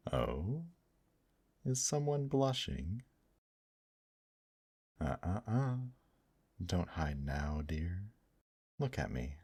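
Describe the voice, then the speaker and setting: A man voicing a gentle, teasing male demon in an intimate ASMR roleplay, speaking softly and courteously to the listener. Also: deep voice, big pitch swings, husky, dry recording, full character voice. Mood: playful teasing. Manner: slow, soft, courteous, affectionate teasing with endearments. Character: gentle, teasing male demon brothel worker, tender and attentive.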